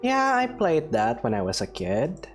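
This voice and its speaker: A man's voice: on the high side, huge pitch swings, unhurried. A man playing a game on stream, reading dialogue and commenting in a dry, sarcastic way. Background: music.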